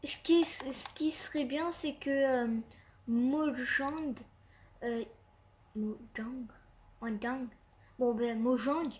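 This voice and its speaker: A woman's voice: high voice, extremely slow, pauses often, a little hiss or hum. A young woman hosting casual Minecraft commentary with a stuffy cold, hesitant and full of fillers and restarts. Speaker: gaming channel host.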